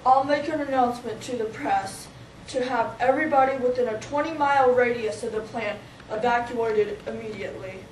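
Girl playing a calculating Soviet leader, high voice. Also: normal speed, lightly acted. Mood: decisive. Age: young teen. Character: calculating male Soviet leader downplaying a disaster. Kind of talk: amateur school drama. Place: small library office. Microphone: far camera. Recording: echoey room, distant camera mic, noise.

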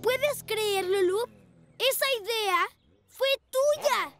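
Young boy rescue motorcycle, cartoon. Very high, measured pace, eager and commanding. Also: heavily acted. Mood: delighted. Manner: excited, commanding exclamations. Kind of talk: animated children's cartoon dubbing. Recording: music behind the voice.

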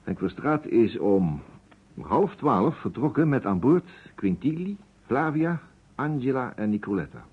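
An actor playing a courteous, precise private detective in a vintage radio play, musing deliberately and dropping in French phrases. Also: male voice, mid-range voice, sing-song, husky. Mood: methodical. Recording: muffled phone-like old radio recording.

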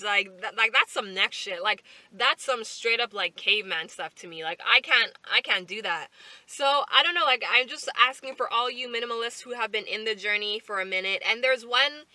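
A young woman talks straight to camera from her parked car, her voice bright and sharp with a slightly raised pitch. She chats loosely and animatedly, piling on fillers and asides. The sound is close and dry, with no room around it. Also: dry recording, rough recording. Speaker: vlogger. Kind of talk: casual chat to camera. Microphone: camera on the dashboard.

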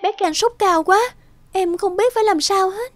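High-voiced, distressed betrayed young mother. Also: normal speed, no room sound. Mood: pleading. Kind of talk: cartoon drama acting. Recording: loud music behind the voice.

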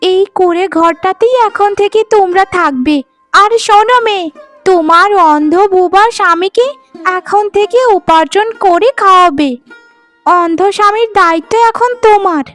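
A woman acts out a haughty, scheming sister-in-law in a cartoon folk tale. Her voice is extremely high and her delivery is bossy. Music plays behind her. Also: moderate pace, fairly bright tone. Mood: bossy, scolding. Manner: bossy, scolding. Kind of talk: cartoon storytelling dialogue.

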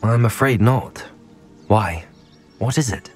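A young man with a British accent playing a polite, composed student wizard hero in a video game, speaking in a measured way. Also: fairly low pitch, soft background music. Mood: polite, reassuring. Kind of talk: video game cutscene acting.

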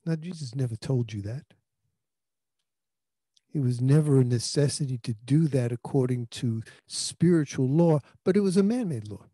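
New guy in his 50s giving a sermon, warm relaxed tone, handheld mic, talks like a conversation and restarts a lot. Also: mid-range voice, normal speed, breathy edge, no room sound. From New York. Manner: earnest conversational preaching with frequent restarts. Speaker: pastor. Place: small indoor room. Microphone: handheld microphone.

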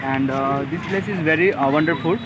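A young man with an Indian English accent, a polite student speaking haltingly with many fillers. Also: on the high side. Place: outdoors at a temple ground. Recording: loud outdoor background noise. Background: traffic noise.

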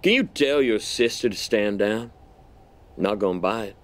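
An actor playing a smug, menacing army general in an audio drama, with an insinuating, mock-polite manner. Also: male voice, on the low side, expressive, moderate pace, natural voice. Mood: guarded.

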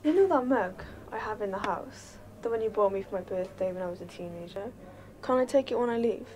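A woman's voice: medium pitch, animated, a little fast, a little mellow, lightly acted. A young woman playing a frustrated grown-up daughter who argues for her independence in radio-play drama. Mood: pleading, impatient. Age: young adult. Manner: exasperated, argumentative. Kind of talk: low-key dramatic radio-play dialogue.

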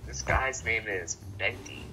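A man's voice: fairly low pitch, unhurried, pushed and bright, soft background music. A young adult man in an improvised Minecraft roleplay, talking in short, often repeated phrases. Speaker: gaming video co-player.

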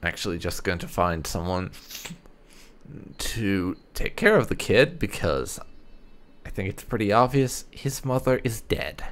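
A man's voice: fairly low pitch, animated, a little slow, clear, resonant tone. A man doing playthrough commentary, musing and reading his character's dialogue choices aloud. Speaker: gaming channel host roleplaying his character.

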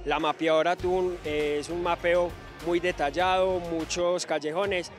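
A man giving a measured, analytical post-race interview. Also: high voice, little pitch movement, faint music behind.

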